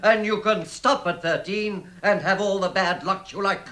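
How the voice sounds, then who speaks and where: An actor playing a testy, commanding old wizard with an authoritative, theatrical manner in vintage radio drama. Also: male voice, high voice, moderate pace, sharp tone. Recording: vintage 1960s radio recording.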